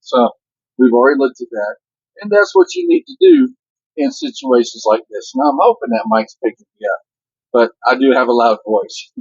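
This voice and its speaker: A man's voice: medium pitch, huge pitch swings, normal speed, slightly rough, dry recording, lo-fi sound. An older man who drives a truck, chatting casually and giving matter-of-fact safety advice. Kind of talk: casual vlog chat.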